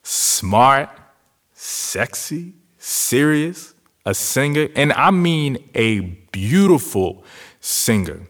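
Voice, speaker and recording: An actor playing an earnest activist man in love, delivering a poetic, deliberate dramatic monologue for a radio play. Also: mid-range voice, big pitch swings, a bit bright, full character voice. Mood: smitten. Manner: deliberate, poetic phrasing with weighty pauses. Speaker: lead actor in a stage-style audio play. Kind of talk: dramatic spoken-word monologue.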